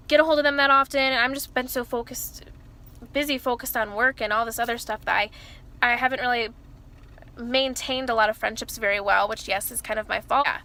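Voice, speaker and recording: A young woman in her early twenties talks to camera from inside her car with a loud, bright and slightly high voice. She rambles, trips over herself and keeps adding "like" and "you know". The small car cabin gives her voice a close, boxed-in sound. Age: early 20s. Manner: rambling, self-interrupting, many fillers like "like" and "you know". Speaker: vlogger. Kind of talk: casual confessional chat to camera.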